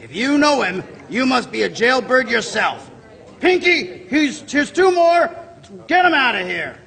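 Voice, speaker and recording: Gravelly, high, barking tough bartender. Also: male voice, big pitch swings, measured pace, sharp tone, exaggerated voice. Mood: contemptuous. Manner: barking, slangy, curt. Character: tough, hostile, bullying male bartender. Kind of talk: old-time radio drama acting on stage. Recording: echoey theater stage with long reverb.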